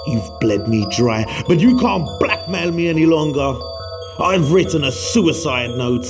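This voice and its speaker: A man's voice: a little high, lively intonation, unhurried, over music. A man playing a bitter, despairing museum professor, anguished and formal, in a comic-book drama.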